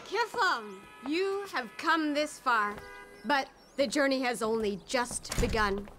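An actress playing a zealous, spacey cult recruiter in a video game cutscene, preachy, condescending and grandiose. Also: female voice, high-pitched, lively intonation, slow pace. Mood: solemn, mystical. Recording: music under the voice.

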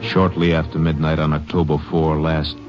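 A sober, procedural lawman narrates in a very low voice, old radio style. Music sits clearly behind the muffled recording. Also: little pitch movement, normal speed. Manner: formal, procedural. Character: sober Texas Ranger narrating a case file. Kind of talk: 1950s radio drama narration.

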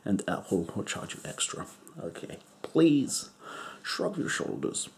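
A man voicing an eccentric, crude foreign male doctor in a deadpan whisper. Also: lowish voice, vocal fry, slightly rough, exaggerated voice. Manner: whispery, slow, deadpan joking. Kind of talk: comedic ASMR roleplay.

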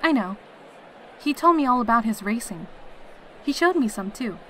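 A woman's voice: a little high, expressive, normal speed, fairly bright tone, a little hiss or hum. A woman voicing a carefree, teasing female pegasus soldier in a fan audio drama. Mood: casual, breezy. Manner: breezy, teasing, cheerful.

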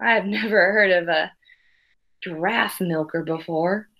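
A woman's voice: on the low side, measured pace, sharp tone, a little hiss or hum. A woman in her thirties reading a picture book aloud as a teacher, expressively, with simple asides for children. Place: small room at home.

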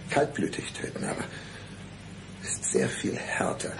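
An actor playing a calm, cold-blooded would-be killer in a radio play, measured, deliberate and coolly menacing. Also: male voice, background noise, close to an everyday voice.

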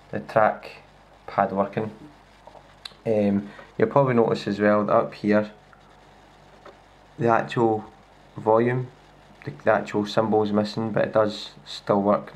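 A man with a Scottish accent gives a casual, hands-on demo of a laptop, talking in a relaxed way with lots of fillers and restarts, recorded on a handheld camera indoors. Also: lowish voice, low-quality recording. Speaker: tech reviewer. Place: indoors at a wooden table. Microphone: handheld camera filming a laptop screen.

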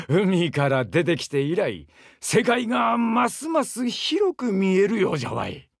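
A warm-hearted, cocky young seaman from a mobile game, the eager big-brother type. He speaks a rustic southern Japanese dialect in a gravelly, raised voice. His delivery is boisterous and hugely varied, moving between bashful murmurs and hearty shouts. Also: high-pitched, huge pitch swings, slow pace, few pauses, dry recording, studio quality, exaggerated voice. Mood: affectionate, earnest. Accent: southern Japanese dialect, Kagoshima-like.

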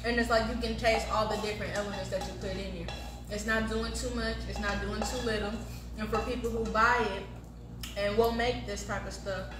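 A woman's voice: medium pitch, little pitch movement, brisk, music in the background. An American woman in her early twenties chatting casually as a guest taste tester, explaining things in slangy terms. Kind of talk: casual chat. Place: home kitchen, indoors. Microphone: phone camera a few feet away. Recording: echoey, distant, clearly noisy kitchen recording.

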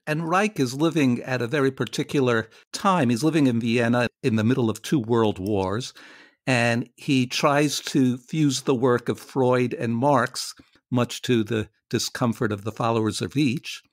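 A man's voice: medium pitch, measured pace, dry recording, studio quality. A man interviewing on radio in a conversational way, summarizing ideas and putting questions.

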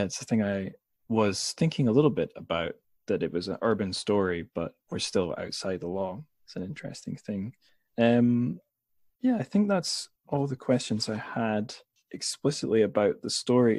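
A man with a fairly low voice hosts a relaxed interview podcast. He thinks aloud in halting phrases. The sound is very dry, with no room around him. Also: no room sound.

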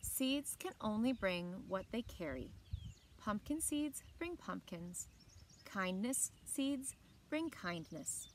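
A woman in her thirties reading aloud to children in an expressive storytelling style, with short asides. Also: medium pitch, slow, slight background noise. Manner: expressive, clearly paced reading to young listeners. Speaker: library story time reader. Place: outdoors on a lawn beside evergreen trees. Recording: outdoor ambience with birdsong. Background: birds and outdoor ambience.

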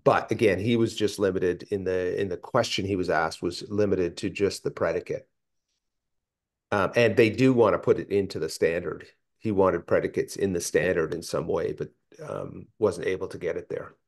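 A man presenting in a technical working group call, explaining in a halting, thinking-aloud way. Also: low-pitched, a little mellow. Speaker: meeting presenter. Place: indoor room, video call.

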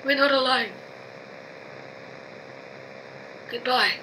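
A woman's voice: sits high, steady tone. A woman playing a frightened, grieving astronaut engineer in a science fiction audio drama, short of breath and speaking in broken sentences. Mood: pleading, fearful. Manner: halting, broken sentences with repeated words. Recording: faint hum and noise behind.

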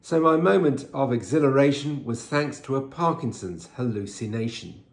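A man's voice: mid-range voice, expressive, normal speed. A man in his sixties reading a rhyming story aloud, recorded at home. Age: 60s. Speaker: poem reader. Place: living room at home. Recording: roomy echo.

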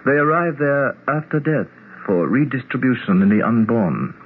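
An actor playing a courtly, self-assured immortal alien prince in a 1970s radio drama, speaking formally and with stately certainty. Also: male voice, mid-range voice, very animated, normal speed, lightly acted. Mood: lofty, calm. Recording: tinny, noisy 1970s radio broadcast.

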